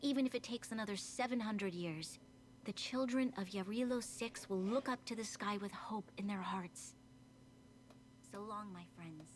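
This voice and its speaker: A woman voicing a dignified, earnest young female leader in a video game, speaking in a formal, measured, solemn way. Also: mid-range voice, slight background noise, close to an everyday voice. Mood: solemn, resolute.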